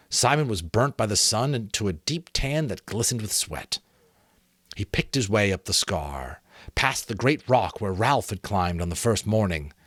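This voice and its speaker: Low-voiced man reading a story, dry sound. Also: no room sound. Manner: expressive, measured storytelling. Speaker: amateur audiobook reader. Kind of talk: dramatic audiobook-style narration of a novel.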